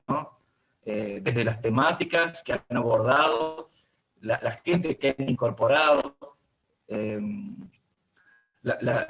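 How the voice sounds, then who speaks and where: A man moderating a conversational interview, building long questions haltingly and repeating words as he searches for phrasing. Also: medium pitch, rough recording.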